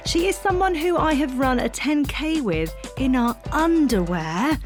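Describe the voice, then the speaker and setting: A woman's voice: high voice, animated, a little slow, music in the background. A woman hosting a podcast, chatting casually with her guest and laughing often. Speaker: podcast host. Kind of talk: casual interview chat.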